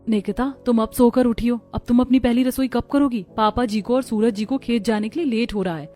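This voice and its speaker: A woman's voice: a little high, steady tone, a little fast, mellow, natural voice. A woman playing a traditional, dutiful village daughter-in-law in a scripted cartoon. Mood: worried.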